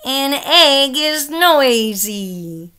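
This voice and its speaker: A woman's voice: high voice, lively intonation, very slow, bright, forceful tone, slight background noise. A middle-aged woman reading a children's picture book aloud, with careful, emphatic phrasing for young listeners. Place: small room at home, indoors.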